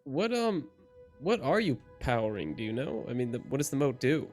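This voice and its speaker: A man in a tabletop game thinks aloud in casual chat. His voice is mid-pitched and a little hesitant, and it is picked up by a home microphone. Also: big pitch swings, moderate pace, darkish tone, faint music behind. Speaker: player.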